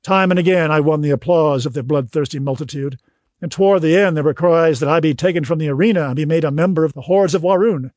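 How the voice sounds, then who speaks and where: A man reading scripted audiobook narration in a measured, literary storytelling manner. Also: on the high side, darkish tone, no room sound, clean studio sound.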